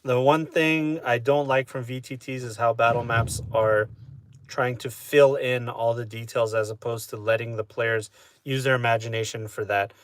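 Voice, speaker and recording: A man chatting casually with his live-stream audience, relaxed and talkative, often asking "you know what I mean?". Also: mid-range voice, normal speed. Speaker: streamer answering chat.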